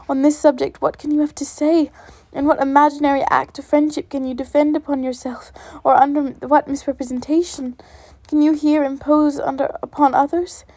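A woman's voice: high-pitched, dark tone, no room sound. Elizabeth, a woman reading a spirited indignant young lady.

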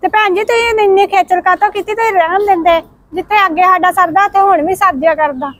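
A woman acting out a young wife who is sweet to faces and bitter behind backs. She has a high voice and shifts between placating and grumbling. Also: fast, a bit bright. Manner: politely placating aloud, muttering grudges aside. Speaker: wife of the brother. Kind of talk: village family drama acting.

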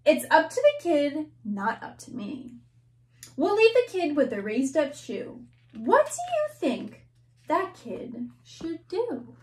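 A young woman teacher reads a picture book to children, stopping often to ask them questions and gasping at the turns. Her voice is high and bounces widely, and she takes her time. She is recorded close on a webcam in a small room. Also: huge pitch swings, slow pace. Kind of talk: children's read-aloud. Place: small classroom-style room indoors.

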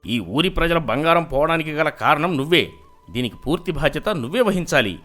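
A man's voice: on the high side, animated, brisk, a bit bright. Man playing stern, accusing village headman. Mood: angry, accusing. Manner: accusing, commanding. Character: stern, angry male village headman, quick to blame. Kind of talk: cartoon moral story dialogue. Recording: music behind the voice.

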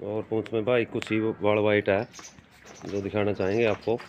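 A man's voice: lowish voice, little pitch movement, moderate pace, darkish tone. A man with a Haryanvi accent giving informal, emphatic livestock commentary outdoors and putting questions to a farmer, repeating himself for viewers. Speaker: livestock video presenter and interviewer. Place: open farmyard with brick walls. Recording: dull phone audio with faint noise.

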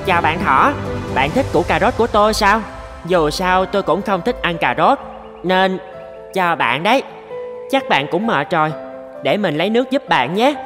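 A man's voice: sits high, lively intonation, normal speed, music in the background. A man playing a kind, eager young elephant in a children's cartoon. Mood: friendly.

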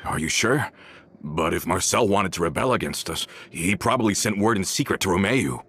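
An actor playing an earnest, loyal member of a gang in a video game, speaking in a questioning, sincere manner. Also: male voice, sits low, expressive, moderate pace, a bit bright, husky, heavily acted. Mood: earnest, troubled. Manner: earnest, questioning, hesitant when shocked. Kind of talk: video game character dialogue.

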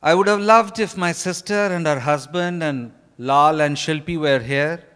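A man in his fifties with an Indian accent gives a formal prepared speech in halting, deliberate short phrases. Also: on the high side, fairly bright tone, clean tone. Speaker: author addressing an event. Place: stage in a large hotel ballroom. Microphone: handheld microphone. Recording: reverberant hall, live stage microphone.

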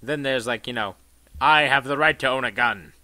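A young man giving a casual let's-play commentary, crude and rambling, with self-mocking jokes and sudden loud outbursts. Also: mid-range voice, moderate pace, no echo. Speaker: gaming commentator. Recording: game music loud underneath. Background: electronic dubstep game music.

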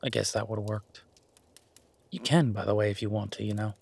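A man playing a shy, gentlemanly boyfriend in an intimate romantic audio roleplay, speaking softly and haltingly with gentle teasing. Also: lowish voice, fairly even intonation, creaky, husky. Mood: bashful, affectionate. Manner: hesitant, soft-spoken, gently teasing.

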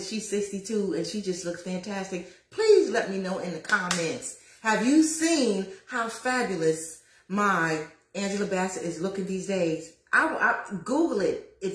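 A woman in her fifties talks straight to her viewers while she cooks, lively and a little preachy, calling out to them as y'all. Her voice sits at an ordinary middle pitch. It is picked up by a phone at arm's length and bounces around a roomy home kitchen. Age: 50s. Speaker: lifestyle vlogger. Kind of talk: casual livestream chat while cooking. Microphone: phone camera at arm's length.

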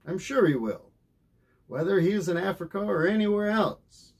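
A man acting a confident polar explorer in a storybook, emphatic and determined. The pitch is raised and very mobile, with some rasp. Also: expressive, normal speed, a little mellow, full character voice. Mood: confident insistence. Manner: emphatic, insistent.

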